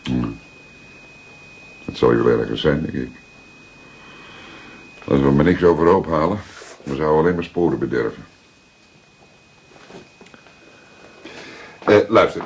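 An actor playing an older, paternal police detective with a Dutch accent, speaking in a deliberate, emphatic way with a husky voice. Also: male voice, extremely low pitch, expressive, slight background noise, full character voice. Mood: measured, instructive. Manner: deliberate, emphatic, repeating words for effect. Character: seasoned male police detective, paternal, methodical, secretive. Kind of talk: dramatic detective dialogue acting.

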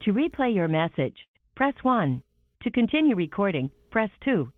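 Recorded voicemail prompt, woman, lively pitch, tinny line. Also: moderate pace, dry recording.

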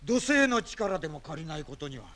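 An actor playing a brash, lazy, boastful demon with rough, swaggering speech in a vintage children's audio drama. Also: male voice, on the high side, very animated, a little fast, full character voice. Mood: grumbling boastful. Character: brash, lazy, boastful grown male demon, easily cowed. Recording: vintage record drama with loud music.

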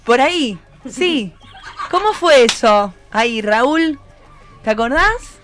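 A woman's voice: sits high, expressive, slow pace, fairly bright tone. A woman with an Argentine accent hosting a casual radio interview, encouraging people and prompting them with questions. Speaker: radio host interviewing students. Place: radio studio. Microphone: studio microphone.

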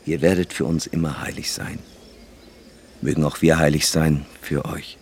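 Casting: reverent priest, extremely deep monotone, solemn radio drama delivery, faint ambience behind. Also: male voice, normal speed, slight background noise. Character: solemn, reverent male priest giving a blessing. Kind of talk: German radio play acting.

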